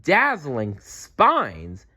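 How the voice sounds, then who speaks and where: A man voicing a young, eager, caring female dinosaur in a storybook, with exclaiming, drawn-out emphasis. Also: on the high side, wildly swooping pitch, sharp tone, breathy edge, pitched above the performer's own voice, heavily acted. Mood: proud, amazed.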